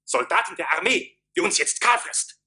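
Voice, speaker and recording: An eager cooper with big ideas, radio drama, high bright voice, impassioned. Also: male voice, expressive, moderate pace, exaggerated voice. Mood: visionary, eager. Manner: impassioned, declamatory. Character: bold, fervent cooper advising the emperor. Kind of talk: radio play acting.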